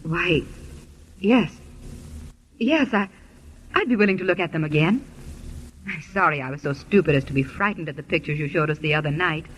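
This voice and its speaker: A woman's voice: low voice, normal speed, pauses often, fairly bright tone. A woman acting a curious, determined married heroine in a vintage radio drama, with an eager, emphatic delivery. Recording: muffled, noisy old radio broadcast.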